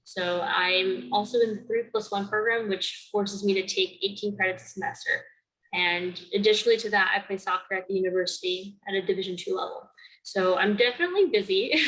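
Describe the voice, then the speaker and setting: A young woman of about nineteen from Connecticut, whose first language is Spanish, speaking to the camera in an earnest, measured way as a youth program founder. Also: mid-range voice, sharp tone, rough recording. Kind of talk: recorded award-video talk to camera.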